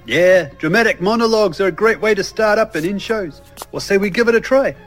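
A man's voice: high-pitched, fairly quick, fairly bright tone, faint music behind. A man in casual, scripted podcast banter among co-hosts.

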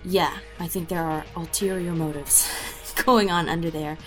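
A woman's voice: fairly low pitch, steady tone, normal speed, fairly bright tone, soft background music. A woman who voices a character in an audio drama, answering interview questions in a thoughtful, rambling way with many fillers and restarts.

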